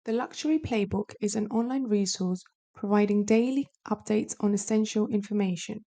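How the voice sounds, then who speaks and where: A woman reading a sponsor ad in a smooth, promotional read. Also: medium pitch, warm tone. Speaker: ad announcer.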